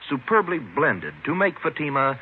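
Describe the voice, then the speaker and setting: Lively radio pitchman, male. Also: normal speed. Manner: polished, salesmanlike. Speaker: commercial announcer. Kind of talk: 1950s radio advertisement read. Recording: lo-fi old radio recording, faint noise.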